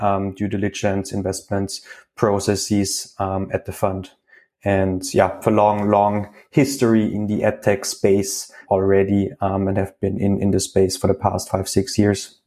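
Austrian man explaining in a lowish voice. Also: completely flat delivery, a little slow, warm tone. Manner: explanatory, with frequent ums and repeated words. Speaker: guest, venture capital associate. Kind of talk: podcast interview.

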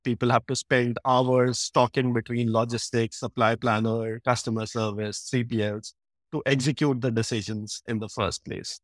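A man's voice: medium pitch, level tone, normal speed, no echo, clean studio sound. A man speaking as an expert guest in an interview, explaining things in a structured, methodical way. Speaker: guest expert, supply chain practice lead.

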